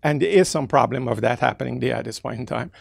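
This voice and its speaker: A man, from the Caribbean, asking the author a long question from the audience, halting and restarting as he searches for words. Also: mid-range voice, gravelly, husky, dry recording, studio quality. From Caribbean, raised in the Netherlands. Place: bookstore event space.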